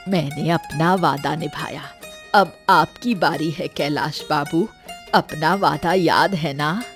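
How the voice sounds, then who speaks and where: A woman plays an old village granny from a cartoon moral tale, low in pitch and unhurried. She is shrewd and caring, coaxing with calm assurance, over a music bed. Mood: pleased. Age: older. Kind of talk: scripted cartoon story acting.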